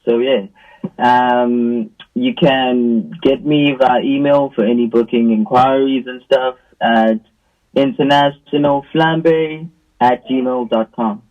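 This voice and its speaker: A man's voice: medium pitch, steady tone, slow pace. South African man on a muffled video call. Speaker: musician guest. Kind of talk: casual chat.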